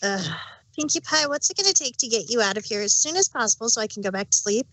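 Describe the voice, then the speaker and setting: A woman's voice: on the high side, expressive, fast talker, fairly bright tone, poor audio. A woman voicing a groggy, brash, impatient young female pony in a fan audio drama, grumbling and blunt. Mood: grumpy.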